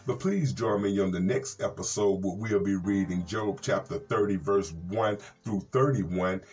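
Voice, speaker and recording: An American man, a reverend hosting a Bible program, reads scripture and commentary in a measured, earnest way with folksy asides. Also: lowish voice, a little mellow. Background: plucked guitar music.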